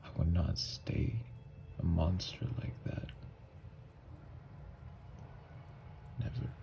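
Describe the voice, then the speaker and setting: A man playing a tormented, lovestruck young vampire in an intimate ASMR roleplay, speaking in a slow, halting, hushed murmur. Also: deep voice, monotone, vocal fry, slight background noise. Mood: haunted, brooding. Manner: slow, halting, hushed confession with long pauses. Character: tormented, lovestruck newly turned male vampire, confessing tenderly. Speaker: ASMR voice actor.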